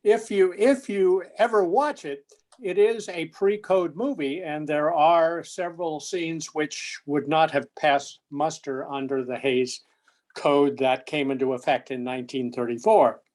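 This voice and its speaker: A man co-presenting a prepared but conversational lecture, with measured, deliberate phrasing. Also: a little high, a little mellow.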